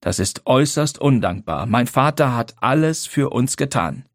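A man voicing a placid middle-aged husband who speaks calmly, precisely and with clear accents. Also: medium pitch, moderate pace, no room sound, clean studio sound, voice pushed up, natural voice. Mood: hurt.

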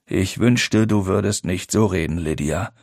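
A man voicing a placid middle-aged husband who speaks calmly, precisely and with clear accents. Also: mid-range voice, normal speed, no echo, studio quality, voice pushed up. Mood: hurt.

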